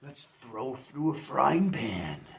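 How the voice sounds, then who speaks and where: A man's voice: medium pitch, sing-song, slow pace, vocal fry, crowd noise. A middle-aged man from Canada chatting casually on a livestream as host, upbeat and rambling, correcting himself as he goes. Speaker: channel host. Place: indoors near a fireplace. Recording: roomy echo, mic far off.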